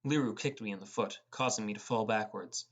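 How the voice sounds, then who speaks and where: A man's voice: on the low side, a little fast, slightly rough. A man reading first-person fantasy narration as an audiobook narrator. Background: music.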